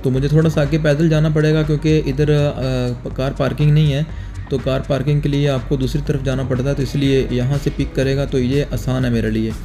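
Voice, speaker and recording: A young man from Pakistan narrating a casual travel vlog at night outside an airport, talking calmly to viewers through a phone held at arm's length. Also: mid-range voice, brisk, faint music behind. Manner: calm, explanatory, talks to viewers directly. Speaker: travel vlogger. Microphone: phone camera held at arm's length.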